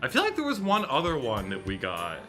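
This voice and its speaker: A man's voice: mid-range voice, wildly swooping pitch, fairly quick, pushed and bright, soft background music. A man in his thirties hosting a live stream, chatting casually in a rambling, digressive way and often correcting himself. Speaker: live streamer hosting a visual novel readalong. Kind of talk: casual livestream chat.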